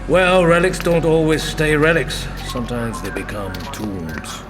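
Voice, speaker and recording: An actor playing a brusque, mysterious senior detective in an audio drama, with a commanding, cryptic and clipped way of talking. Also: male voice, a little high, big pitch swings, measured pace. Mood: wry, brooding. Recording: music behind the dialogue.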